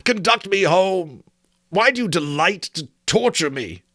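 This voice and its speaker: A male reader acts an old miser from a storybook, tormented and pleading. The voice is pushed high and loud with desperate emphasis and big pitch swings. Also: lively intonation, a little slow, dry recording, studio quality, exaggerated voice. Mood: anguished pleading. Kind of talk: dramatic audiobook reading of a Victorian story.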